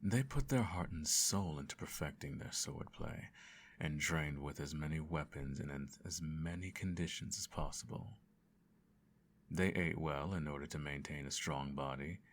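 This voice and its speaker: A man playing a cold, controlling emperor and adoptive father in an ASMR bedtime roleplay, speaking formally with measured, condescending menace. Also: slight background noise. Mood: solemn storytelling. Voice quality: very deep, creaky.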